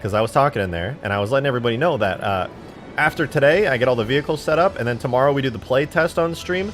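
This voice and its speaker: A man's voice: medium pitch, lively intonation, racing, fairly bright tone, soft background music. A man in his twenties chatting casually on a live stream while modding a game, rambling, joking and swearing freely. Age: 20s.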